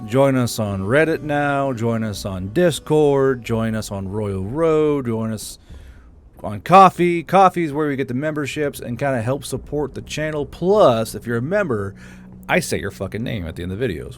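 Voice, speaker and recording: A man who hosts a story channel, speaking as the narrator of fantasy audiobook tales. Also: mid-range voice.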